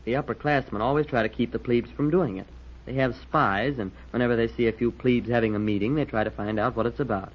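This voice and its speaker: A man playing a fast-talking, keen young cadet. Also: mid-range voice, close to an everyday voice. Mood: keen, insistent. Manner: boyish, emphatic, explanatory. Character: keen, earnest young male cadet named Lee. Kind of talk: old-time radio drama acting. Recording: muffled vintage radio recording.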